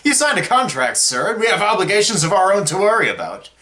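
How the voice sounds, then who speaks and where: Man acting an animated, indignant foreman. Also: sits high, fast, heavily acted. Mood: indignant, incredulous. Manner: emphatic, incredulous protesting. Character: indignant, exasperated male construction foreman. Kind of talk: stage musical table read.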